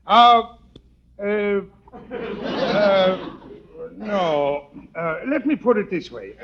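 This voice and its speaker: Halting, very high-voiced toastmaker. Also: male voice, expressive, noisy background, heavily acted. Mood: formal, flustered. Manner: halting, stammering formality. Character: tongue-tied, blustering friend giving a toast. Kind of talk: 1940s radio comedy acting. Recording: dull old radio recording.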